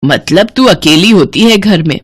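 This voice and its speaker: A woman's voice: fairly low pitch, animated, unhurried, breathy edge. A woman voicing a suspicious, scolding older mother-in-law from a village in a moral story cartoon. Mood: nosy, bossy. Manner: scolding, blunt.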